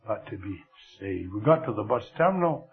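An older man with a Scottish accent preaching a sermon, slow and expressive, letting his voice fall very quiet at the ends of phrases. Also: lowish voice. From Scotland. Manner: slow, lively delivery with dramatic drops to near whisper. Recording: thin phone-like radio broadcast.

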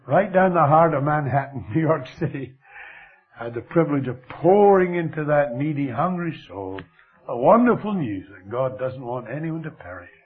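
An older man with a Scottish accent preaching a sermon, slow and expressive, letting his voice fall very quiet at the ends of phrases. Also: fairly high pitch, husky. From Scotland. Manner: slow, lively delivery with dramatic drops to near whisper. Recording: thin phone-like radio broadcast.

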